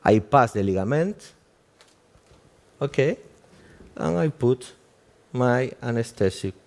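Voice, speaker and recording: A man with a Cuban Spanish accent, a native Spanish speaker, giving a live demonstration as a doctor in halting English and checking in with "okay?" after almost every step. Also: mid-range voice, lively intonation, a little mellow. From Cuba.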